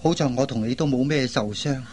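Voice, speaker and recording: An actor playing a devoted, gentle young man in a radio drama, earnest and reassuring. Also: medium pitch, a little fast, slight background noise, lightly acted. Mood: sombre. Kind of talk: Cantonese radio drama acting.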